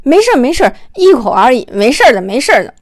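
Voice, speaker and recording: A caring young husband in an audiobook , acted by a woman narrator, watchful and coaxing. The delivery is brisk, with a dry, close sound. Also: sits high, big pitch swings, no room sound, voice pushed down. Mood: soothing.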